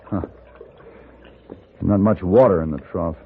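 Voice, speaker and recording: A man's voice: sits low, slow, husky, narrow, tinny audio, heavily acted. An actor playing a self-possessed, dryly unafraid hired gunman of the Old West in a 1950s radio western. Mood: dry, wary. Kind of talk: 1950s radio western acting, first-person narration and dialogue. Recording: band-limited old radio recording with music.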